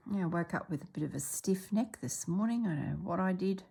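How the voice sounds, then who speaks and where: A middle-aged woman from Australia chatting casually as she stitches, a little congested and clearing her throat, sometimes dropping to soft murmurs. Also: on the low side, moderate pace. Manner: chatty, relaxed, drops into soft murmurs while working. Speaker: stitching vlogger. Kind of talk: casual chat while stitching.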